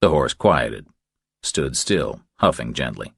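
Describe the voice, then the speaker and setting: A man narrating a thriller audiobook in a very low voice. His delivery moves up and down with plenty of expression, and the sound is close and dry. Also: animated, slow pace, gravelly, fairly bright tone, slightly rough, no room sound.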